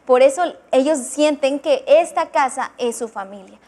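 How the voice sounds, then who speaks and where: A woman's voice: high voice, normal speed, mellow. A woman, earnestly explaining a rehabilitation ministry on a church TV program. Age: 20s. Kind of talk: testimonial explanation.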